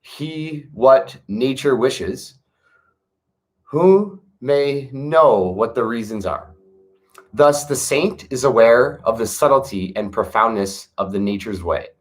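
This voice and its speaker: A man reads an ancient text at an unhurried pace, letting each phrase settle before the next. His pitch rises and falls freely as he goes, and he slips easily from reading into relaxed asides. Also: poor audio. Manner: measured, pausing, adds casual commentary. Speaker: reader of a classic text. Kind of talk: slow reflective reading with asides.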